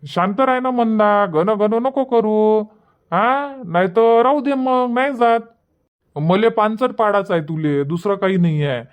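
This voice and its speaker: A man's voice: high-pitched, unhurried, clear, resonant tone. A man voicing an exasperated cartoon village husband, blunt and scolding, with a Varhadi Marathi accent. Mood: irritated. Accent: Varhadi Marathi from Vidarbha. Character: exasperated village husband, protective of his sister. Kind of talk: dubbed cartoon comedy dialogue.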